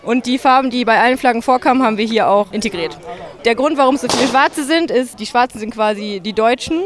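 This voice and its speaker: A woman's voice: a little high, fast talker. Young woman, student, dry plain answers, outdoors. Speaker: architecture student. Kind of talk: interview answers. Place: outdoors in a town square.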